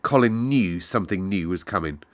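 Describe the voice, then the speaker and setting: A man narrating a children's classic aloud in an expressive storytelling style. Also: deep voice, moderate pace, very noisy.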